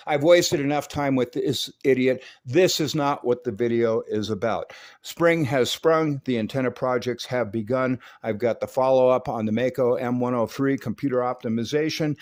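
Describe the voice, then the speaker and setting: A man's voice: mid-range voice, darkish tone. A man narrating his own hobby video in a casual, folksy and self-assured way, with slangy turns of phrase.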